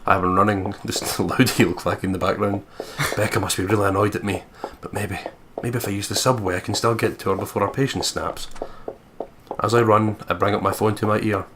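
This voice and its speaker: Guy on a let's play reading out narration, jokey and sweary, kinda low voice, faint noise. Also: fairly even intonation, brisk. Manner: jokey, profane. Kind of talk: reading game narration aloud with joking commentary.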